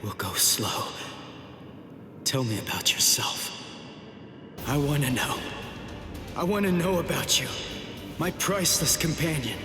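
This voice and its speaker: An actor playing an earnest, determined young sword fighter in an anime video game, speaking with intensity. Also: male voice, fairly high pitch, very animated, unhurried, pauses often, music in the background. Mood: pleading, resolute.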